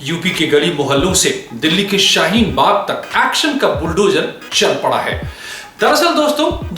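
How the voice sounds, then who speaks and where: Echoing, higher-pitched male news commentator. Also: fairly bright tone. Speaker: news commentator and channel host. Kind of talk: scripted news narration with opinionated commentary. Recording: heavy echo, music bed underneath. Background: background music.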